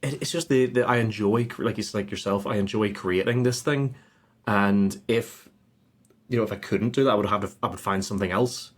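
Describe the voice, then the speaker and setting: Husky, lowish-voiced man chatting casually. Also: fairly quick. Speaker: podcast guest, podcaster. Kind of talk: casual conversational interview answers.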